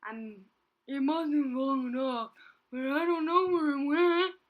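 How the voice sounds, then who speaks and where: Woman putting on an upset, high-voiced male rhino. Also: a little slow, narrow, tinny audio. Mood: upset, crying. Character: upset male rhino who lost his horn. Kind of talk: children's storybook read aloud.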